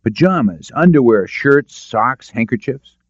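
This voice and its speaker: A man's voice: medium pitch, expressive, a little slow, dry recording, dull audio, voice pushed up. A man voicing a composed, probing lawyer who speaks directly, in a detective audiobook. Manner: direct, questioning. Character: shrewd, composed, probing male defence lawyer.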